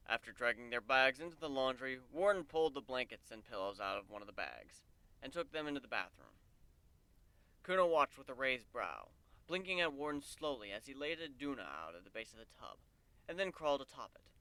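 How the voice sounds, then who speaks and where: A man reads a story aloud as a dramatic narrator. His voice is bright and smooth, with clear articulation and a dry, close recording. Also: mid-range voice, big pitch swings, fairly quick, no echo. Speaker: narrator of a fan fiction reading.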